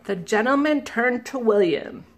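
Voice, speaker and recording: A woman, a children's librarian, reading a picture book aloud slowly and gently, stopping to ask the listeners questions. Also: a little high, animated. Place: indoors at a table, camera above the book.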